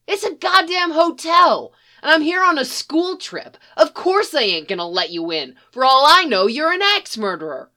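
A woman's voice: sits high, very animated, moderate pace, few pauses, pushed and bright, pitched above the performer's own voice, exaggerated voice. A woman voicing a mouthy, combative eleven-year-old boy who talks back sharply in a storybook reading. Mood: defiant. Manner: combative, sassy back talk.

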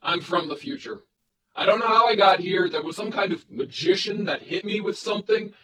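A woman's voice: medium pitch, brisk, fairly bright tone, echoey room, far from the mic, a little hiss or hum, lightly acted, poor audio. Woman voicing a brash, cursing young vigilante. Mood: urgent. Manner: blunt, gruff and swearing.

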